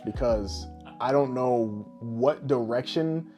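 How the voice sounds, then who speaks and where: Guy chatting on a podcast, blunt and informal, acts out little asides, normal pitch, bit of music behind him. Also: slow, dark tone. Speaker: podcast co-host. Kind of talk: casual podcast chat about films.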